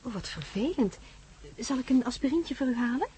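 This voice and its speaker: A woman acting in a radio drama as a polite, solicitous librarian with a gentle, helpful manner. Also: sits high, lively intonation, brisk, heavy background noise. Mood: concerned.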